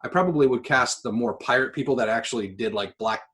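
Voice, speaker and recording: A man's voice: mid-range voice, fast, fairly bright tone. A man co-hosting a podcast, chatting casually and enthusiastically about games and films, with the odd swear word. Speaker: podcast co-host.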